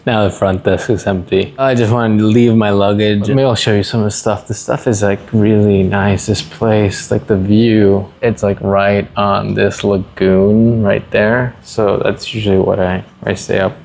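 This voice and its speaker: A man in his thirties from Puerto Rico, narrating a casual travel vlog to a handheld phone camera in a relaxed, rambling way. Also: on the low side, unhurried, creaky, hardly any pauses, slight background noise. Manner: relaxed, rambling, thinks aloud. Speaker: vlogger and entrepreneur.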